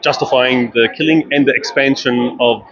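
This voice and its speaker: A man's voice: mid-range voice, steady tone, normal speed. Man interviewing at a noisy street protest. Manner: probing, leading questions. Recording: dull lo-fi with loud outdoor noise.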